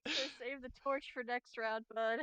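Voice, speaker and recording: A woman's voice: fairly high pitch, steady tone, normal speed, fairly bright tone, clean tone, no echo, poor audio. A woman chatting casually as a player in a tabletop game. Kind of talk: casual chat during a game.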